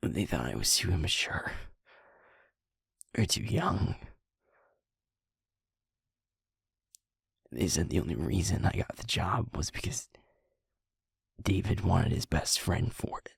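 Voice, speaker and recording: A young man playing a sweet, guilt-ridden werewolf who is second in command of his pack, speaking in a breathy, halting, confessional way for an intimate audio roleplay. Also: low-pitched, sing-song, dry recording, studio quality, heavily acted. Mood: ashamed, dejected. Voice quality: breathy, husky, often falling to a whisper. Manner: halting, heavy pauses, confessional.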